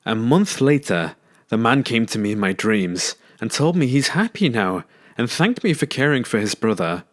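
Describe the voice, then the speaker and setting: A man narrating a scary story aloud in an expressive, storytelling way. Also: mid-range voice, normal speed.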